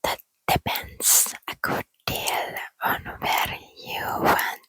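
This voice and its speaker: A woman voicing a sly grinning Cheshire cat in a soft ASMR whisper. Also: extremely slow. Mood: teasing. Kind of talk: ASMR storybook reading.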